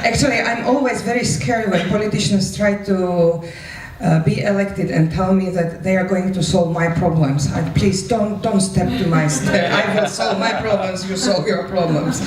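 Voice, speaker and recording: A woman's voice: mid-range voice, normal speed, over a noisy crowd. A woman speaking as the moderator of a live event, addressing the room. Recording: echoey room, distant mic, faint noise.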